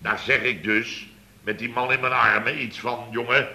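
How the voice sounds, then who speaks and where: Sharp, blustering boss. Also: male voice, mid-range voice, flat delivery, moderate pace, reverberant. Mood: sardonic, irritated. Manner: emphatic storyteller, repeats himself, sardonic. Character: blustering, exasperated male boss of a small building firm. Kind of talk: 1970s radio comedy acting. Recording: noisy vintage radio recording.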